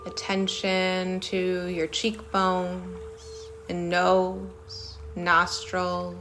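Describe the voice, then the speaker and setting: A woman leading a guided body-scan meditation in a soothing manner, speaking in short phrases with long pauses between them. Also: on the low side, monotone, a bit bright, faint music behind. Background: singing bowls.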